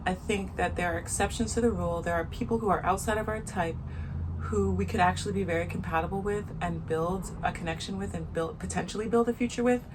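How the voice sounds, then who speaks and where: A woman with a soft voice giving her frank opinions in a casual vlog, talking outdoors in the sun and repeating her points for emphasis. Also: lowish voice, quick pace, noisy background. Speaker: vlogger.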